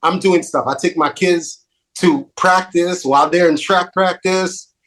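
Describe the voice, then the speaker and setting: A man with a Haitian accent tinged by New York, hosting a relaxed interview. His voice sits a touch high for a man, and he talks in an animated, self-deprecating way, often repeating his phrases. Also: measured pace. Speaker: podcast host. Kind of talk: casual interview chat.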